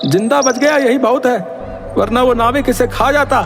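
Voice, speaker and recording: A man's voice: extremely high pitch, normal speed, over music. A man voicing an exasperated, gossipy villager who scolds and warns emphatically in a storybook drama. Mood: wary. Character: exasperated gossipy male villager warning of danger.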